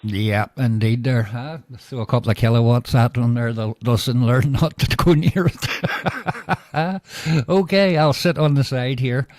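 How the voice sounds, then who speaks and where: A Northern Irish man talks over a ham radio link with a mellow, dark tone. His voice is close and dry, without room echo. He speaks in a measured way, with lots of "uh" and "indeed there". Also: medium pitch. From Northern Ireland. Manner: measured, filler-heavy, signs off with "go ahead". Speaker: radio operator. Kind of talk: casual radio chat.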